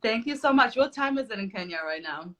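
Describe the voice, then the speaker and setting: A woman's voice: a little high, expressive, a little fast, fairly bright tone. A woman hosting a casual live video interview from New York over a video call, chatty and laughing often, with many fillers. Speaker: podcast host.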